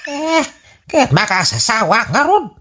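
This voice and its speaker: A man's voice: very high-pitched, sing-song, unhurried, pushed and bright, slight background noise, full character voice. An actor playing a stubborn, whiny old Ilocano father in a radio comedy drama. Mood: insistent.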